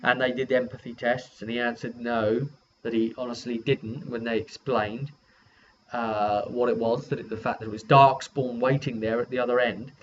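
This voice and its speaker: A man's voice: mid-range voice, flat delivery, moderate pace. Man recounting a game session, dull recording. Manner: rambling, acts out quoted dialogue informally. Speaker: game master recapping a tabletop game session. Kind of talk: casual recounting of a role-playing session. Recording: dull lo-fi audio with background noise.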